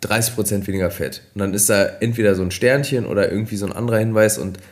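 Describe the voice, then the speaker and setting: A man, a nutrition expert co-hosting a podcast, explaining casually with many fillers, slightly hoarse from a cold. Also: on the low side, fast talker.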